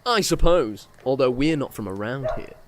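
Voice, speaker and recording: A man acts out a brusque detective for a fantasy audio comedy. His voice sits at a middling pitch, dry and matter-of-fact, with little patience for anyone. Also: animated, moderate pace, background noise, lightly acted. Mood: stern, businesslike. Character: brusque, impatient male lawman detective, dry and dismissive.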